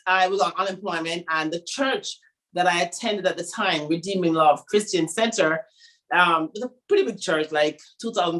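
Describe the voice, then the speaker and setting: A woman's voice: fairly low pitch, rough recording. A middle-aged woman from Jamaica, a fashion designer, telling her story in an interview and acting out the people she quotes. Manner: animated storyteller who acts out quotes.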